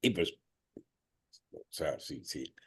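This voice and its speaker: A man with a Colombian Spanish accent, a panelist and business owner, telling an anecdote in a halting way with many hesitations. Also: extremely low pitch, lively intonation, breathy edge.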